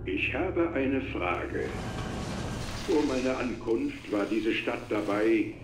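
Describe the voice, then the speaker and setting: An actor playing a cruel male villain who taunts slowly and mockingly. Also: medium pitch, expressive, noisy background. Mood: taunting. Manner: slow, gloating, mocking. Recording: echoey game audio with music.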